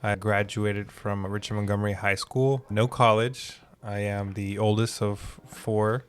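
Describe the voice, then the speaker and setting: A man's voice: fairly low pitch, fairly even intonation, measured pace, clean tone. A man in his twenties from Maryland, co-hosting a casual podcast in a laid-back, upbeat way. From Maryland, United States. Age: 20s. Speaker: podcast co-host. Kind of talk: casual chat.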